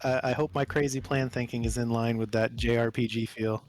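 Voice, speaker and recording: Male game player, medium pitch, hesitant, casual group chat. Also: steady tone, brisk.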